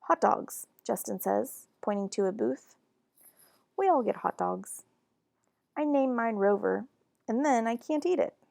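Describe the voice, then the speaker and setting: A woman reading a children's storybook aloud in an expressive, deliberate and animated narration. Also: on the high side, gravelly, no echo. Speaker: children's book reader.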